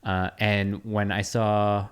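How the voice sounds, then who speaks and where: A man's voice: fairly low pitch, completely flat delivery, clear, resonant tone. A man hosting a casual podcast chat, talking easygoing and drawing out some phrases. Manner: easygoing, drawn-out phrasing, chuckles. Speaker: podcast host.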